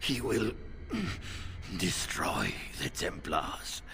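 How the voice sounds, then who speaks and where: A battered old mage from a fantasy game, low and weak. He drags his strained words out very slowly, exhausted yet defiant. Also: wildly swooping pitch, creaky, bright, forceful tone, heavily acted. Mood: pained defiance. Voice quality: weak, strained, breath-broken. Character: battered old First Enchanter mage, weary but defiant.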